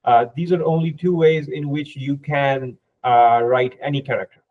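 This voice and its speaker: A man's voice: medium pitch, fairly even intonation, normal speed. Dull-sounding man explaining, native Urdu speaker. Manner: didactic, often checks with "right?". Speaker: podcast guest, researcher. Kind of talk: informal technical explanation.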